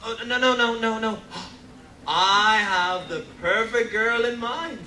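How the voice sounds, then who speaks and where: A fussy, excitable crewman acted by a man in a live radio play. His voice is very high and forceful, heard from far off with echo. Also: takes it slowly, heavily acted. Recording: distant, echoey, faint noise.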